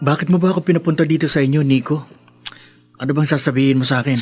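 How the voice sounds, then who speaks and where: A sincere young Filipino man, a supportive friend in a radio melodrama. His fairly high voice is emphatic and heartfelt, dry and close with light music underneath. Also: racing, slightly rough, no room sound. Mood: puzzled, casual. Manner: earnest, emphatic. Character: earnest, consoling young male friend, urging justice.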